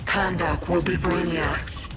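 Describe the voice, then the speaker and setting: A smug, calculating henchwoman villain in a game cutscene. She threatens slowly and coldly, with loud music behind her. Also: lowish voice, steady tone. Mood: smug menace. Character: cold, calculating villain serving an alien conqueror. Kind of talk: video game cutscene acting.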